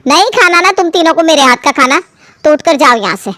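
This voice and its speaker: A woman's voice: sits high, expressive, a little fast, bright, forceful tone, no room sound. A woman voicing an indignant, short-tempered middle-aged mother who scolds with sarcasm. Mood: angry, threatening.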